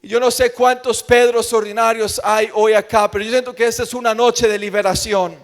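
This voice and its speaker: A man with a Colombian accent delivers a church sermon in a strikingly high-pitched voice. He is emphatic and leans on repeated phrases. His words ring out with the long reverb of a hall. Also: fairly even intonation, a little fast. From Colombia, grew up in Medellín. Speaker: preacher. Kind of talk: evangelical sermon with personal testimony.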